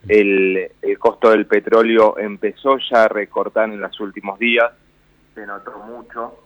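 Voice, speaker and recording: A man's voice: on the low side, level tone, unhurried, no room sound. Buenos Aires man, rambling finance talk, muffled dry remote line. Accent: Rioplatense Spanish with a slight northern Argentine lilt. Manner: rambling, with frequent restarts and fillers. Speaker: finance commentator guest. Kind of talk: radio phone-in commentary.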